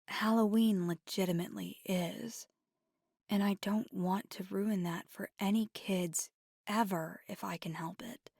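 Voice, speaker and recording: Woman acting as a flirty vampire gf asmr, soft and whispery, slow w lots of vocal fry, playful n affectionate. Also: mid-range voice, dry recording, studio quality, exaggerated voice. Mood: earnest, wistful.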